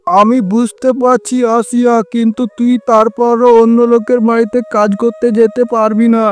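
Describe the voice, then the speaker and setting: A man's voice: extremely high pitch, flat delivery, normal speed, clean tone, faint music behind. A man playing a proud, anguished poor farmer husband in a cartoon, speaking emphatically. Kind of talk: dramatic cartoon story acting.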